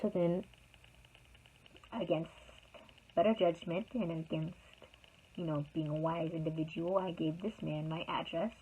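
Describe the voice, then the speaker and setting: A woman's voice: low voice, fairly even intonation, measured pace, pauses often, dark tone, a little hiss or hum. A young woman telling a personal story to her viewers in a rambling, chatty way, full of fillers. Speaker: vlogger. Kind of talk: casual storytime. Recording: tinny phone-like sound.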